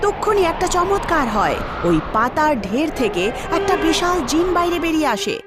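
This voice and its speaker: A woman's voice: sits high, very animated, moderate pace, very noisy. A woman narrating a scripted cartoon story as its storyteller. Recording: music behind the voice. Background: music.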